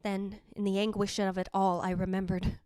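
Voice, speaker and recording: A woman's voice: medium pitch, flat delivery, moderate pace, dark tone, smooth, dry recording, close to an everyday voice. A woman playing the jealous ghost of a dead wife, narrating in a theatrical, literary, anguished way in old-time radio style. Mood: weary, resigned.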